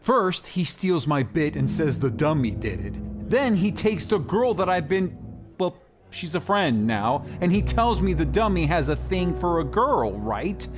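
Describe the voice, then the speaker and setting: An actor playing an indignant, lovelorn ventriloquist in a noir audio drama, high-strung, fretful and exclaiming. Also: male voice, very animated, a little slow, over music. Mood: panicked.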